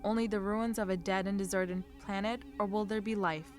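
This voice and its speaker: A woman's voice: mid-range voice, steady tone, brisk, faint music behind, lightly acted. Woman as a portentous radio anthology announcer. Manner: dramatic, portentous. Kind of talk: old-time radio drama narration.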